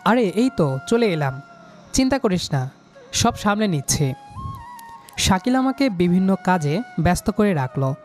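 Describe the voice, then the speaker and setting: A man's voice: high voice, very animated, a little slow, pauses often, soft background music. A young man reading a scripted romantic story in the first person, voicing the lead character's lines.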